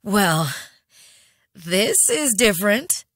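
An actress playing a determined, wry human explorer in a video game, speaking in an informal, expressive way. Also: female voice, medium pitch, extremely slow, no echo, studio quality, full character voice. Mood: wry, surprised.

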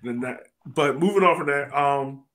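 A man's voice: medium pitch, normal speed, fairly bright tone. A man hosting a casual soccer discussion over a video call, moving the panel along. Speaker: soccer podcast host. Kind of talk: casual chat, moderating discussion.

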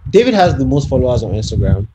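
A man's voice: medium pitch, quick pace, music in the background, rough recording. Emphatic young man arguing on a podcast. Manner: animated, emphatic, persuasive, often asks "you know what I'm saying". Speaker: podcast co-host. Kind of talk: casual debate among friends.

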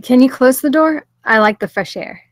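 A woman's voice: sits high, expressive, normal speed, no room sound. A woman reading the part of a guarded, reluctant teenage girl at a scripted table read.

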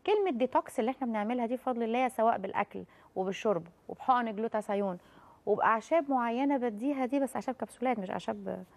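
A woman's voice: on the high side, darkish tone. A woman in her thirties with an Egyptian accent, giving medical advice as a guest on a TV talk show, reassuring and affectionate with callers. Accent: Egyptian Arabic. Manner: fast, reassuring, calls callers dear. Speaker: doctor guest. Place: TV studio.

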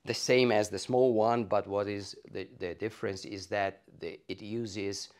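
Male, middle-aged, Italian accent, low register, halting technical explainer on a lapel mic. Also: fairly even intonation, a little mellow, clean tone. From Italy. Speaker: loudspeaker designer being interviewed. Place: carpeted home listening room, indoors. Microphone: clip-on lapel mic.

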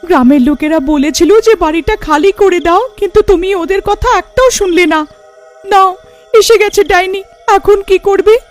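A woman's voice: very high voice, normal speed, soft background music, pitched above the performer's own voice. A woman voicing a frightened, scolding village wife in a horror cartoon, wailing and pleading. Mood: panicked, wailing.

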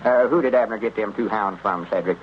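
An actor playing an exasperated, folksy country storekeeper with a rural Southern accent in old-time radio comedy. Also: male voice, lowish voice, a little fast, close to an everyday voice. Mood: defensive. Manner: folksy, grumbling. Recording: muffled, noisy 1930s-40s radio recording.

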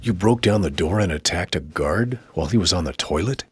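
A skeptical military interrogator for a video game. He is deep and very husky, with a stern, sardonic edge and a close, dry sound. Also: lively intonation, brisk, dry recording, faint music behind, exaggerated voice. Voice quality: husky, gravelly. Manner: incredulous, sardonic.

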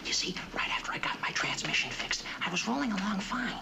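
An actor playing an eager, hapless teenage schoolboy with a squeaky, cracking voice in a 1950s school comedy. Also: male voice, very animated, fast talker, creaky, in a whisper, full character voice. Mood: eager, apologetic. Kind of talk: 1950s sitcom acting. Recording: noisy old film and TV soundtrack.

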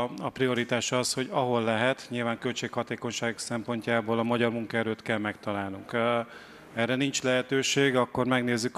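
A man's voice: mid-range voice, fairly bright tone, a little hiss or hum. Businesslike man on a panel. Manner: matter-of-fact, businesslike. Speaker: panelist, staffing company representative. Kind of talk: conference panel discussion. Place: conference stage, indoors. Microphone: handheld microphone.

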